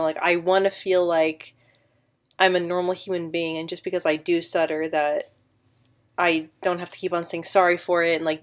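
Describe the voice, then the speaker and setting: A woman in her twenties speaks to viewers from her living-room sofa, her pitch sitting slightly low. She stutters often, catching on sounds and repeating words, while keeping an earnest, encouraging tone. The sound comes through a built-in webcam microphone. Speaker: vlogger. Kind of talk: casual vlog to camera. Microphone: built-in webcam mic.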